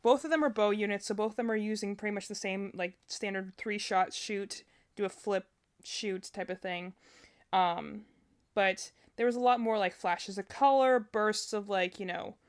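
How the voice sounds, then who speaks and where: Female game streamer, mid-pitched, casual and rambling, thinking out loud as she reacts. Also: moderate pace, smooth. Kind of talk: casual livestream chat.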